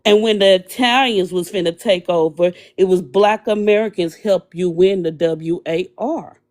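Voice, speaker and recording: A woman on an online debate panel, lecturing another guest in an emphatic, deliberate way. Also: on the low side, a little mellow.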